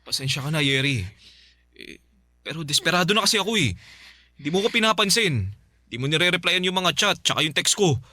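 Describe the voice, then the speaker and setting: A man's voice: a little high, expressive, fairly quick, bright, forceful tone, no room sound. A man playing an obsessive, desperate spurned ex-boyfriend in a Filipino radio drama, whining and insistent as he pleads and threatens. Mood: pleading, desperate. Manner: whining, insistent, stammering repeated pleas. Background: music.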